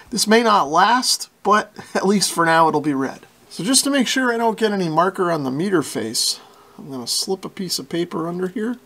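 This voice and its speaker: Guy with a highish voice and some fry, talking thru a repair casually, kinda folksy. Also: fairly bright tone. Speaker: radio repair hobbyist and video host. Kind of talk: informal hands-on repair tutorial narration.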